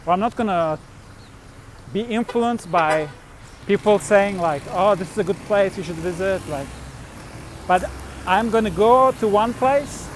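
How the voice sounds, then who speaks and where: High-pitched man telling stories haltingly. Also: slight background noise. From born in Turkey, grew up in Switzerland. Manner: halting, rambling delivery with frequent hedging and trailing thoughts. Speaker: vlogger, musician and traveler. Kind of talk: casual unscripted personal storytelling.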